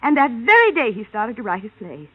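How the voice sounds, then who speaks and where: A woman's voice: on the high side, sing-song, a little fast, breathy edge. A woman with an American accent playing a naively devoted, defensive wife in a vintage radio drama, earnest and emotional. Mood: proudly trusting. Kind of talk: 1950s radio drama acting. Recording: dull, lo-fi old radio recording.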